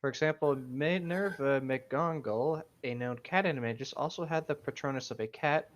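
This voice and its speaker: A man's voice: medium pitch, normal speed, lo-fi sound. A man reading aloud casually and breaking off for sardonic, sweary asides. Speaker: co-host of a fan fiction reading show.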